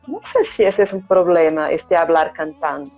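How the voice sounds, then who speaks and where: A woman's voice: fairly low pitch, animated, moderate pace, darkish tone. A middle-aged Brazilian woman, a Spanish teacher speaking Spanish with a Brazilian accent, who thinks aloud with many hesitations and tag questions. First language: Portuguese. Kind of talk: casual chat on a live stream. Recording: dull lo-fi stream audio, faint music.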